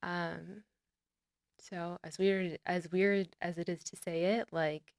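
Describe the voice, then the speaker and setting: Young american woman, podcast guest, smooth lowish voice, dry close sound, says "like" constantly. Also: slow, no room sound. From United States. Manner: relaxed and earnest, heavy use of "like", trailing off and restarting. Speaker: podcast guest, olive oil brand founder. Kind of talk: casual interview conversation.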